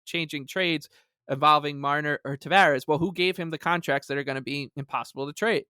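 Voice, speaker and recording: A man's voice: on the high side, steady tone, a little fast, sharp tone, clear, resonant tone, no room sound, studio quality. A man co-hosting a sports podcast, talking casually and reading aloud with wry, sarcastic emphasis. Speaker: podcast co-host.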